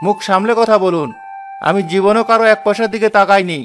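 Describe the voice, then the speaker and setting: A man's voice: high-pitched, brisk, soft background music, natural voice. A man voicing an honest, poor woodcutter in a cartoon tale, earnestly protesting that he is wronged. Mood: indignant. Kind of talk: dramatic cartoon voice acting.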